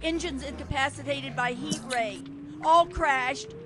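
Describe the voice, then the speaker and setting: Woman playing an urgent high-voiced radio dispatcher. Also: measured pace. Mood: urgent, formal. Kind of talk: amateur radio play reading. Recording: clear background noise.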